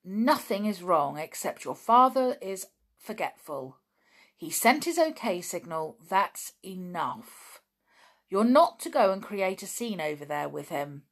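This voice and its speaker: A woman voicing a sensible, bossy older boy in a storybook, brisk and authoritative but reassuring. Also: on the low side, very animated, exaggerated voice. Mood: impatient, firm.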